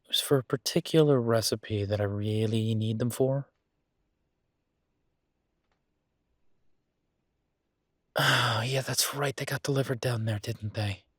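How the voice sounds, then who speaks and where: Husky, frustrated but fond boyfriend played by a man. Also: medium pitch, moderate pace, dry recording, studio quality, close to an everyday voice. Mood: casual, searching. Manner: conversational, hesitant, exasperated but fond. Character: frustrated, affectionate restaurateur boyfriend, talking to his partner. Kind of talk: intimate roleplay audio drama, one-sided conversation.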